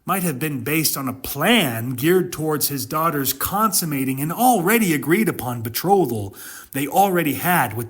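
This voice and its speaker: A man giving dramatic commentary on scripture as a host, with emphatic, deliberate phrasing. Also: fairly high pitch, a bit bright, breathy edge.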